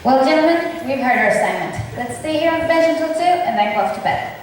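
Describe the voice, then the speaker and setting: A woman's voice: high-pitched, lively intonation, quick pace. A woman playing a cheeky, lazy rogue in an amateur student stage production of simplified Shakespeare. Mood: cheeky, eager. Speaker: student actor in drama club play. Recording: echoey hall from far off, faint noise.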